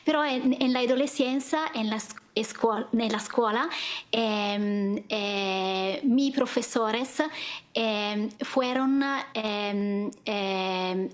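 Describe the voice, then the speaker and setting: A woman from northern Italy with an Italian accent, speaking a language that isn't her first. She talks slowly and haltingly, stopping to search for words with many fillers. Her voice is a bit higher than average. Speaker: guest singer.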